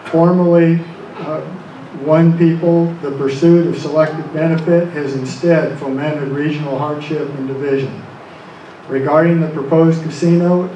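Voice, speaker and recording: A man delivers a formal prepared statement at a public hearing in a fairly high, mellow voice. The sound is dull and echoey, as if caught across the room. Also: a little hiss or hum. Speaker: member of the public giving testimony. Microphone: distant camera microphone. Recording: muffled, echoey, distant room microphone.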